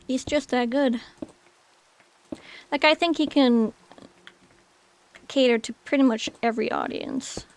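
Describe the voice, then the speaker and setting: A woman's voice: high voice, a little slow, long pauses. A woman in her late twenties chatting casually with viewers on a game stream, rambling with many fillers. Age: 20s. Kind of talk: casual chat with chat while gaming.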